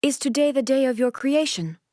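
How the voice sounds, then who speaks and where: An actress voicing a solemn, aloof immortal shogun and swordswoman in a video game, with formal, measured, stately delivery. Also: high voice, lively intonation, darkish tone, dry recording, studio quality. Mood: warm, formal. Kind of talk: video game character voice lines.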